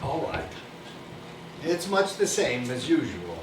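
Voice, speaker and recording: An actor playing a gentle, doting older Victorian father, a humble toymaker, mild and hesitant in a stage play. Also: mid-range voice, very animated, slow pace. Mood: fond. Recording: echoey distant stage recording, noisy.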